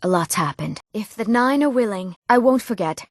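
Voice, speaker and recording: A young woman voicing an eager village woman in a fantasy video game, speaking earnestly and clearly in scripted lines. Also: medium pitch, expressive, normal speed, dry recording, clean studio sound, lightly acted. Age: young adult.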